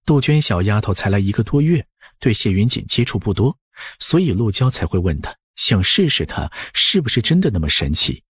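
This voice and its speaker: A man's voice: fairly low pitch, very animated, brisk, slightly rough, no echo. A man narrating an audiobook story from a script.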